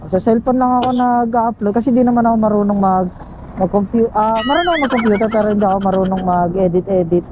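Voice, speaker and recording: A woman with a Filipino accent talks in a joking, teasing way as a rider vlogging on the job. She chats casually into a helmet intercom. Clear traffic and engine noise runs behind her. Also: mid-range voice, quick pace. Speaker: motorcycle taxi rider and vlogger. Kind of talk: casual chat with a passenger. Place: on a motorcycle on the street. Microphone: helmet intercom headset.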